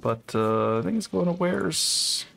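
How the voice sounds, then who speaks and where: Gravelly man musing over game. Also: a little high, measured pace, a little hiss or hum. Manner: relaxed, musing, laughs often. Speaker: let's play host. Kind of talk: casual gameplay commentary. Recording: game music behind voice.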